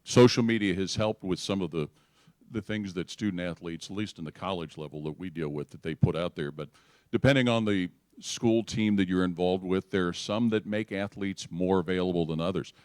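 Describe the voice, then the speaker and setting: A man speaking as a sports broadcaster on a panel, explaining things in a measured, orderly way. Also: low-pitched, a little mellow, no room sound. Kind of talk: unscripted explanatory panel talk.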